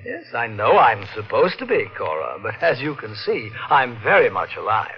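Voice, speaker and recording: On a muffled old radio recording, a sly, mocking husband with villainous poise. He is suave and sardonic, placing his words deliberately with lively turns of pitch. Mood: smugly menacing. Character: sly, mocking husband returned from presumed death. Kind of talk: 1940s radio mystery acting.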